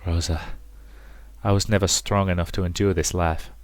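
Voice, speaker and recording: A man playing a gentle, weary dying father in a visual novel, speaking in a halting, hushed way. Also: deep voice, level tone, dry recording, natural voice. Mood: tender, weary. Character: dying gentle father, tender, weary, giving last advice.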